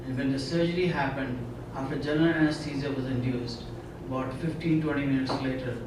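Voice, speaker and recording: A man's voice: medium pitch, steady tone, brisk, noisy background. Indian-born man, echoey conference hall. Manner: earnest storyteller, frequent "you know". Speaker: conference speaker. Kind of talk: personal testimony talk. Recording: echoey hall, speaker far from mic.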